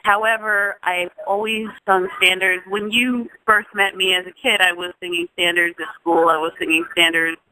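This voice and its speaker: A woman's voice: fairly low pitch, flat delivery, moderate pace, few pauses, dry recording, poor audio. A woman in her thirties, a singer from Jamaica, speaking as an interview guest in a thoughtful, casual way, restarting phrases often. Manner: thoughtful, with frequent restarts and soft laughs.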